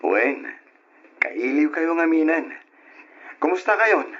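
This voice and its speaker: An actor with an Ilocano accent playing a humble, hardworking father, husky and earnest, in a radio drama. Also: on the high side, big pitch swings, slow, a bit bright, exaggerated voice. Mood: earnest, caring. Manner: earnest, grateful. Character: humble, hardworking father working away in the city. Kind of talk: Ilocano old-time radio drama acting. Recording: dull lo-fi old radio drama recording.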